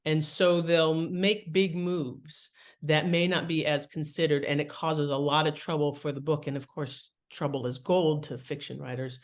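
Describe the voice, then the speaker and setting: A woman guest explaining her craft in conversational interview talk, with an animated manner. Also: low voice, moderate pace. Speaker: guest, fiction writing author.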